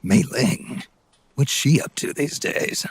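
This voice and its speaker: An actor playing a gruff, aging soldier in a video game, terse and dry with a gravelly rasp. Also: male voice, mid-range voice, expressive, slow pace, no echo, full character voice. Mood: dry, curious. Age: older. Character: gruff, world-weary aging male soldier, sardonic and terse. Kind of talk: video game cutscene acting.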